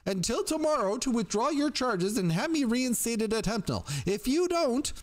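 A man voicing a paranoid, menacing young male student who rants in a high, strained voice for a story. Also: sing-song, normal speed. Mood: menacing rage. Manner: menacing, ranting. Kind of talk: audiobook character voice.

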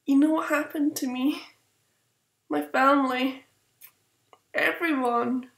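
A woman's voice: high voice, fairly even intonation, slow, creaky, a bit bright, exaggerated voice. A woman playing a feisty, girlish young princess with an emphatic, petulant delivery in a comic fandub. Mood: hurt, furious. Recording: roomy echo, faint music behind.

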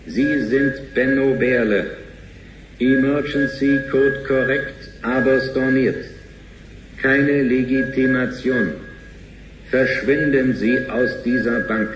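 A man voicing a cold, officious bank computer in a radio play, clipped, monotone and telegraphic. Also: medium pitch, takes it slowly, echoey room, background noise, lightly acted. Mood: stern, impersonal.

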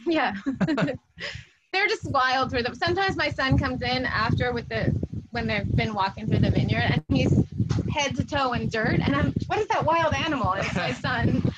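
A woman's voice: high-pitched, moderate pace. Laughing woman on an outdoor call. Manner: laughing, easygoing. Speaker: viticulturist. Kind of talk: casual chat. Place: outdoors in a vineyard. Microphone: video call. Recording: dull outdoor call with noise.

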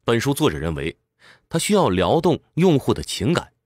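A man's voice: medium pitch, huge pitch swings, normal speed, darkish tone, no room sound, studio quality. A man presenting a scripted book summary in a conversational explainer's manner, posing rhetorical questions as he goes.

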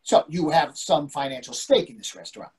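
A man's voice: fairly high pitch, a little fast, slightly rough. A man in his sixties acting in a comic readers theatre play as a dry, commanding management consultant, over a video call. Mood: dry, challenging. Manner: dry, authoritative. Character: self-assured older management consultant, dryly commanding husband. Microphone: computer microphone on video call.

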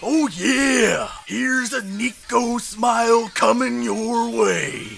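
A man voicing a belligerent, hyped-up anime schoolgirl with gravelly wrestling-promo bravado, for a parody dub. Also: very high-pitched, drawn-out words, fairly bright tone, pitched above the performer's own voice, exaggerated voice. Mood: cocky hype.

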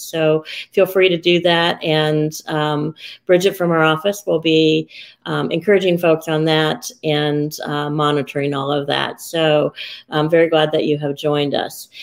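A middle-aged woman hosting a video call interview from a room at home, speaking with frequent ums. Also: on the low side. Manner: warm welcoming, frequent ums. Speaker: host, executive director.

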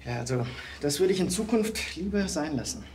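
An actor playing a brisk, matter-of-fact male hospital doctor in a TV series. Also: fairly high pitch, lively intonation, darkish tone, slight background noise. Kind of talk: naturalistic TV drama acting.

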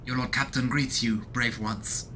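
A man voicing a noble, considerate starship captain from a video game in a measured, dignified manner. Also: mid-range voice, steady tone, sharp tone, a little hiss or hum. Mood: calm, dignified.

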